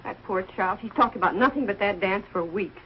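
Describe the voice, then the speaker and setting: A middle-aged woman playing a sensible, protective American housewife and mother in a 1940s television sitcom, brisk, firm and mildly exasperated. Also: mid-range voice, natural voice. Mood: concerned, reassuring. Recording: dull, hissy old television soundtrack.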